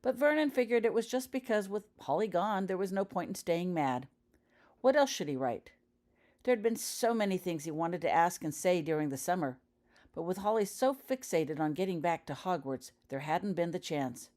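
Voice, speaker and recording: A woman's voice: fairly low pitch, lively intonation, moderate pace, a little mellow. A woman narrating a story as an author reading her own fiction aloud, in a scripted audiobook style.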